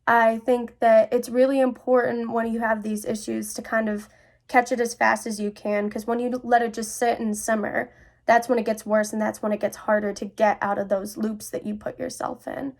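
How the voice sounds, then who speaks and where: A woman's voice: a little high, flat delivery, moderate pace, gravelly. A young woman in her twenties speaking casually and personally to viewers as a vlog host, in a reassuring, conversational manner. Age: 20s.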